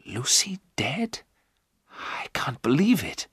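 A man's voice: high voice, fairly quick, a bit bright, slightly rough, no room sound, clean studio sound, voice pushed up, full character voice. A man voicing a frail, haunted young husband in a storybook, fearful and intense. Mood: shocked.

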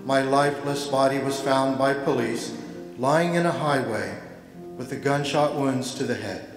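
A man's voice: mid-range voice, measured pace. An older man reads prepared text aloud solemnly as a memorial volunteer. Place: church lectern, indoors. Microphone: lectern gooseneck microphone. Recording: echoey church hall, faint music.

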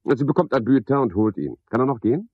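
An actor playing a garrulous, pompous, sardonic porter at a boarding house, with a husky voice, in a radio play monologue. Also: male voice, medium pitch, lively intonation, fast talker, no echo, narrow, tinny audio. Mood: officious, wry. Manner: rambling, pompous monologue with mocking asides. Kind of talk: 1970s German radio play acting.

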